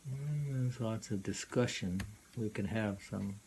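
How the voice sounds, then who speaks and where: A man reading poems aloud informally and musing on them in a halting way, often repeating lines. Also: fairly low pitch, fairly even intonation, darkish tone. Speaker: poetry reader and commentator. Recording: noisy amateur recording.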